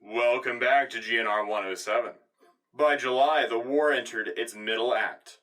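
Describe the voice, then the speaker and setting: A man's voice: mid-range voice, moderate pace, pushed and bright, distant mic, faint music behind, close to an everyday voice, rough recording. A man playing an earnest radio news anchor of a future Earth, reading scripted satirical war news in a polished, official manner. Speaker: radio co-host.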